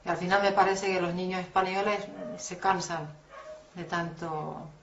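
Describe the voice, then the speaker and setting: Woman, interviewed parent: careful, flat delivery, roomy muffled sound. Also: on the low side, moderate pace, a crowd in the background.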